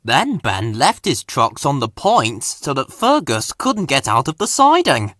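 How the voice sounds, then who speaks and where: Guy narrating a kids storybook, super animated, bright voice, dry recording. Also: medium pitch, unhurried, no room sound. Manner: expressive, dramatic storytelling. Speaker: storybook reader. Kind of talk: scripted children's story narration.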